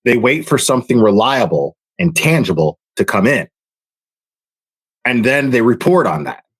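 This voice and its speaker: A man's voice: medium pitch, sing-song, normal speed, a bit bright, husky, no echo. A man giving blunt critical commentary, dismissive and swearing freely.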